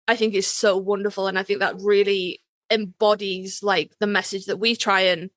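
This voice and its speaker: A woman's voice: medium pitch, flat delivery, normal speed, fairly bright tone, no room sound, studio quality. A woman co-hosting a podcast in a casual, effusive and emphatic way. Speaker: podcast co-host. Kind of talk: casual chat and podcast sign-off.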